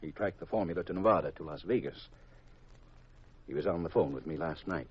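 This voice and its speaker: An actor playing a grave, secretive government intelligence chief in a 1950s radio drama, deliberate and confidential on a vintage recording. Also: male voice, low voice, husky, highs cut off. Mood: grave, confiding.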